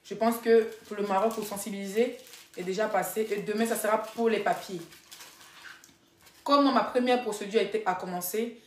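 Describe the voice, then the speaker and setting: A woman's voice: mid-range voice, fairly even intonation, normal speed, a little hiss or hum. A woman telling stories casually to followers on a live stream, addressing them directly and repeating herself for emphasis. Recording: echoey room.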